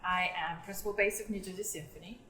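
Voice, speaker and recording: A young woman who was born in South Korea and grew up in England, speaking thoughtfully about her work as an orchestral bassist in an interview. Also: steady tone, fast, bright, forceful tone. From South Korea, raised in England. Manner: thoughtful, earnest, with fillers. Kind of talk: interview talk. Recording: roomy echo.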